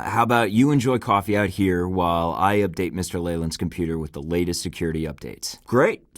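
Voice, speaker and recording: A cocky young secret agent from a video game, tossing off dry sarcastic one-liners. The voice sits low, deep in the chest, and the read is close and dead dry with no room around it. He sounds unbothered, a wisecracking spy. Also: no echo. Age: young adult. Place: recording studio booth. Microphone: close studio mic.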